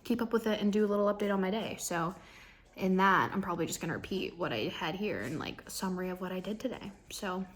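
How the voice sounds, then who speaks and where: A woman's voice: medium pitch, fast talker. Casting: young female vlogger, mid-range voice, informal and confiding, loosely rambling through personal updates. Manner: rambling, confiding, self-talk with trailing thoughts. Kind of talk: casual personal vlog, talking to camera.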